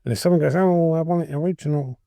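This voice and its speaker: A man's voice: a little high, fast talker, vocal fry, warm tone, no echo. Bunged-up English man, very dry sound. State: bunged up with a cold. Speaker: podcast host. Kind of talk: casual chat.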